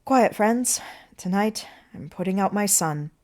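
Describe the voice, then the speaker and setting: A woman voicing a male circus clown who gives a loud, grandly poetic farewell in a stage play reading. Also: medium pitch, animated, unhurried, natural voice. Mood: grand, wistful. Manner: loud, oratorical.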